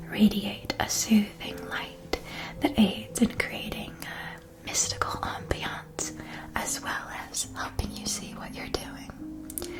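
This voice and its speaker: A woman playing a courteous, helpful witch supply shopkeeper in an ASMR roleplay, speaking in a soft breathy whisper with a gentle, measured manner. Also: medium pitch, close to an everyday voice. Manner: slow, gentle, measured, attentive salesmanship.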